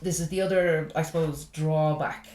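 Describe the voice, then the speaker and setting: A woman's voice: deep voice, fairly even intonation, normal speed, a little mellow, a little hiss or hum. An Irish woman, a comedian guest, talking frankly and chattily in a casual interview. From Ireland. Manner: frank and chatty, with laughing asides. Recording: echoey room.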